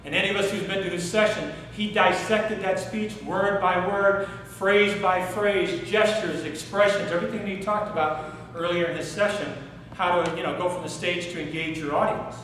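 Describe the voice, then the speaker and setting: A middle-aged man giving a workshop talk on public speaking, animated and asking the audience questions in a hotel conference room. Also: high voice, normal speed, few pauses, slight background noise. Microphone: far camera, no mic seen. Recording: echoey room recorded from far off.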